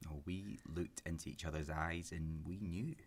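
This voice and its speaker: Deep, husky, lovelorn young romantic. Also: male voice, fairly even intonation. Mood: wistful, smitten. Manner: earnest, halting, flares into agitation. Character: grieving romantic man on a first date, intense, wounded. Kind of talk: naturalistic sci-fi audio drama dialogue.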